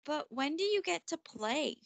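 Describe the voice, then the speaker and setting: A woman's voice: high-pitched, sing-song, a little slow, gravelly, no room sound, voice pushed up, exaggerated voice. A woman voicing a playful young girl in a storybook, matter-of-fact and full of questions. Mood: questioning.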